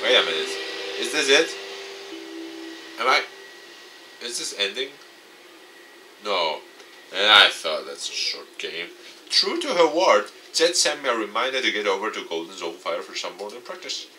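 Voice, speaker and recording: A man reading a visual novel aloud and commenting off the cuff, dramatic with excitable asides. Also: lowish voice, normal speed, long pauses, a little hiss or hum. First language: not English. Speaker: let's play YouTuber. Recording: distant mic, music behind. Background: game music.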